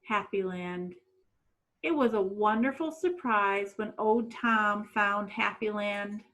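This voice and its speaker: A woman reading a children's picture book aloud in a dramatic, deliberate storytelling manner. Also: medium pitch, a bit bright, rough recording. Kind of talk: expressive storybook read-aloud.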